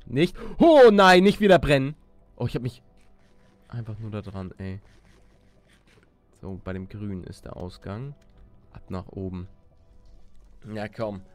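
Man doing casual gaming commentary. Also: mid-range voice. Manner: youth slang mixed with English gaming words. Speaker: gaming video host. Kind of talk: casual Let's Play commentary, thinking aloud.

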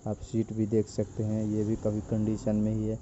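A man's voice: fairly low pitch, monotone, brisk, mellow, slight background noise. A man narrating a walkthrough of car interiors, plainly listing parts one after another. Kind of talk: voice-over walkthrough. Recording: dull lo-fi sound with faint insects.

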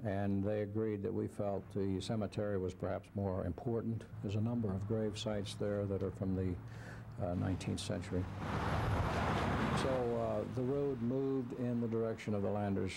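Gravelly male official over loud noise. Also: on the low side, steady tone, normal speed. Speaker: county official. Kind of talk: hesitant spontaneous interview answer. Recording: loud vehicle noise behind.

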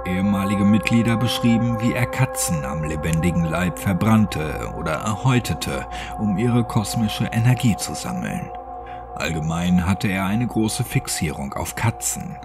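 A man narrating a scripted horror audiobook in a measured, documentary-like storytelling manner. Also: low voice, expressive. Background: background music.

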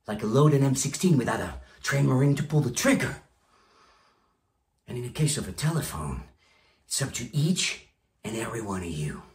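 A man playing a ruthless, bombastic stockbroker boss, delivering a profane motivational monologue in a theatrical way, swinging between hushed and forceful delivery. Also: medium pitch. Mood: commanding, conspiratorial. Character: ruthless, bombastic male stockbroker boss rallying his sales team. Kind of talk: theatrical film monologue performance. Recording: roomy, echoing room sound.

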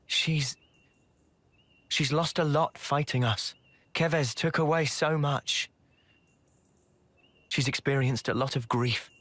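Empathetic young male soldier for a game, slightly high voice, measured delivery. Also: fairly even intonation, a bit bright, no echo. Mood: pensive, earnest. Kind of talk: video game voice acting.

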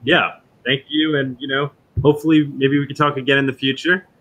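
A man in his forties hosting a podcast interview over a video call, asking friendly, halting questions with fillers. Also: mid-range voice, level tone, a bit bright, rough recording. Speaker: podcast host.

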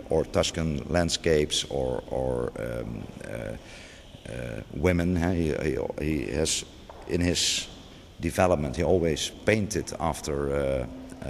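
Deep-voiced man in an echoing gallery. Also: gravelly. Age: middle-aged. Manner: hesitant, many restarts and fillers. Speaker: museum curator. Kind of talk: unscripted gallery interview. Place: large museum gallery indoors. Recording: long gallery reverb, faint background noise.

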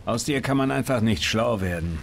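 A man's voice: medium pitch, lively intonation, normal speed, a little hiss or hum. Dry, level-headed male adventurer. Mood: hesitant. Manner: dry, understated. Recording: music clearly under dialogue.

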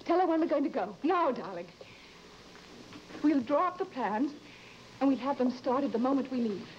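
A woman's voice: high-pitched, animated, normal speed. An actress playing a possessive, insistent woman in a 1950s horror melodrama, urgent and commanding. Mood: coaxing, possessive. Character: possessive, insistent woman urging her darling husband on. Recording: dull, noisy old 1950s broadcast recording.

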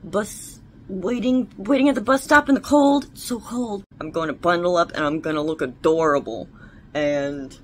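A woman's voice: on the low side, normal speed, a little hiss or hum. A young woman vlogging casually at home, chatty and bubbly with a self-mocking streak. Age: young adult. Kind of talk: casual vlog chat. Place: living room at home. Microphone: phone camera at arm's length.

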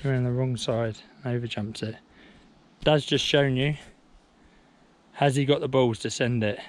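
A man's voice: medium pitch, fairly even intonation, normal speed. A man filming his son on a woodland trail, coaching him in short encouraging calls while riding a mountain bike, recorded on an action camera. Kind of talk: casual vlog chat. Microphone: action camera on the rider.

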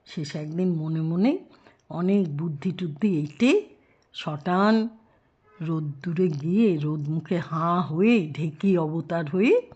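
A woman telling a children's story expressively, with drawn-out emphasis and rhetorical questions. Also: fairly low pitch, darkish tone, breathy edge, muffled recording.

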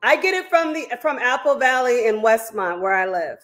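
A woman's voice: on the high side, lively intonation, normal speed, fairly bright tone, roomy sound. A middle-aged woman chatting casually over a video call from her home kitchen, friendly and easygoing. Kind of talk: casual chat. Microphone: laptop or phone video call.

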